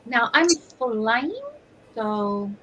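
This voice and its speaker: A woman talks casually as a player in a tabletop game. Her voice is fairly high and husky, with animated swings in pitch. The recording is a little dull and lo-fi. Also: drawn-out words. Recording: slightly dull, lo-fi sound.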